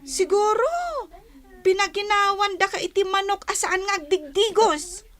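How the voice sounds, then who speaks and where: An actress with an Ilocano accent playing a feisty, sharp-tongued young girl in a radio drama. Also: very high-pitched, animated, measured pace, exaggerated voice. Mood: teasing.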